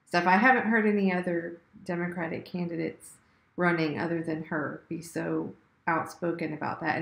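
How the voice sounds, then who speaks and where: A woman's voice: sits low, creaky, echoey room. A woman hosting an interview over a video call, conversational and affirming with frequent "mm-hmm"s. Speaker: interview host.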